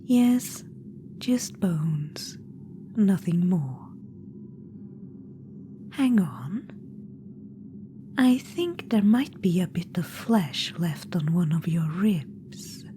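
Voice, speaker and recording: A woman's voice: fairly low pitch, expressive, drawn-out words, pauses often, mellow. A woman voicing an imperious undead witch-queen spirit in an ASMR audio roleplay. She speaks in a whisper, teasing, condescending and commanding. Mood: coaxing whisper. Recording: clear background noise.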